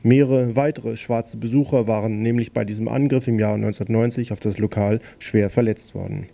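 Gravelly, dark-voiced male news reader. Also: lowish voice, steady tone. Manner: plain, slightly halting reading with self-corrections. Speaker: news reader on a local radio station. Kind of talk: read news bulletin.

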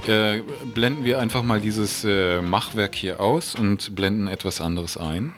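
A man's voice: fairly low pitch, unhurried. A man presenting local radio event listings live, informally and with stumbles over his words. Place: radio studio. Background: music under the voice.

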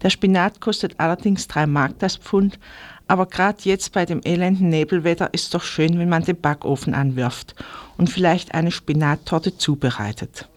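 A woman's voice: lowish voice, no echo. A woman giving a chatty, scripted report as a radio market reporter.

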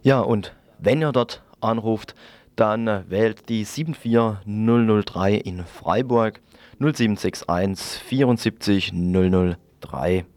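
Man, radio announcement, lowish pitch, slow measured pace. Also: a little mellow, no echo.

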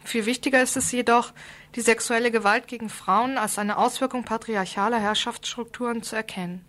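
A woman radio presenter reads a prepared report in a fairly high-pitched voice. She sounds serious and formal, keeping to the written text. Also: fairly even intonation, a little fast, a bit bright. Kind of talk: scripted radio report, read out.

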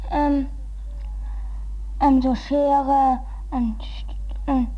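A woman with a high voice answers interview questions very slowly. She speaks haltingly, in short and simple phrases. The recording is slightly dull. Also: flat delivery. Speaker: group participant being interviewed.

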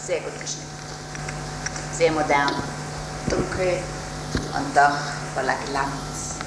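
Fervent Nazi officer's wife, echoey stage. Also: female voice, low-pitched, far from the mic. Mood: admiring, hushed. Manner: dramatic, halting, swinging from hushed to fervent. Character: aging Nazi camp commandant's wife looking back, enraptured. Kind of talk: theatrical stage monologue. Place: theatre stage, recorded live from the room. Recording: echoey, very noisy live theatre recording.

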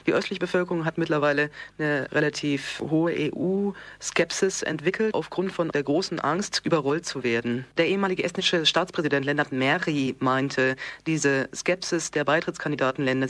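A man interviewing for radio, putting prepared questions in formal, measured phrasing. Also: on the high side, fairly bright tone, dry recording.

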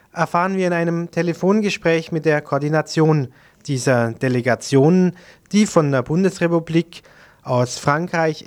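A man reading scripted news as a radio presenter. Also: a little high.

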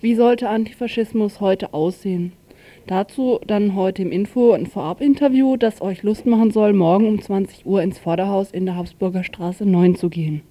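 A woman's voice: mid-range voice, moderate pace, dark tone, no echo. A woman presenting a radio news overview in an informal way, stumbling now and then over announcements.

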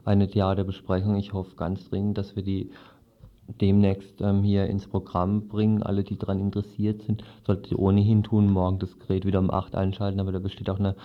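A man's voice: sits low, monotone, brisk. A man presenting live radio in an informal, improvised, casual way. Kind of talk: informal live programme sign-off. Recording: dull, lo-fi radio recording.

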